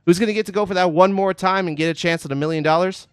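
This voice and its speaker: A man hosts a game show with big announcer patter, his voice a little congested from a cold. His tone sits fairly high for a man and comes across smooth and clear. The sound is dry and close, with no room around it. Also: rapid-fire, no echo. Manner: showy announcer patter, calls out totals and ladies and gentlemen. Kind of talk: hosting an online game show.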